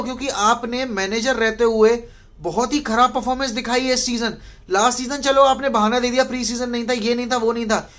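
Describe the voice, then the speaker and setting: A man's voice: very high-pitched, fairly bright tone. A man in his twenties with an Indian accent, speaking bluntly and emphatically in an exasperated, casual fan rant, recorded in a small bedroom. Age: 20s. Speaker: football fan vlogger. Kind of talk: post-match fan rant, casual Hindi-English mix.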